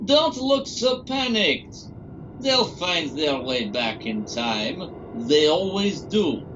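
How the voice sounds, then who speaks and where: A man playing a composed, courteous but menacing captor doctor in an audio drama, speaking formally and with measured politeness. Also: fairly high pitch, very animated. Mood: courteous menace. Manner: formal, measured, genteel phrasing. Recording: muffled, clearly noisy drama track.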